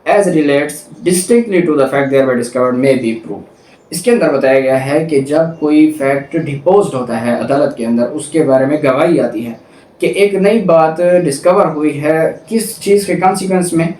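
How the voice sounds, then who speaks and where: Pakistani man, fairly high-voiced teacher type, explains and reiterates points, recorded in a very roomy space. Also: a little slow, a little mellow. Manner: didactic, repeats points for emphasis. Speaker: law lecturer. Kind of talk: explanatory lecture, reading statutes and explaining them. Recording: roomy echo, distant mic, faint noise.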